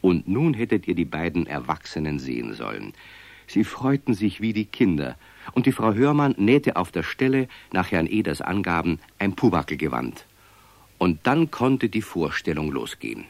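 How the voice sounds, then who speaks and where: A genial storyteller with a deep voice and very lively melody. He tells the children's tale expressively and with care, recorded dry and close. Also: normal speed, creaky, no room sound, exaggerated voice. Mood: genial, amused. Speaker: narrator. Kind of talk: scripted children's radio play narration.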